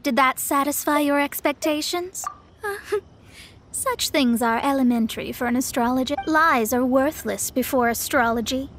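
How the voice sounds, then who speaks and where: A woman's voice: high-pitched, unhurried, a bit bright, a little hiss or hum. A woman playing a proud, impatient young female astrologer in a video game, speaking in a pompous, formal, theatrical way. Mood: smug. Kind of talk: video game character acting.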